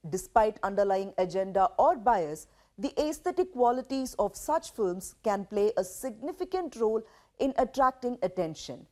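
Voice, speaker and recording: A woman with an Indian accent reading a scripted news explainer in a measured, emphatic way. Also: mid-range voice, animated, mellow.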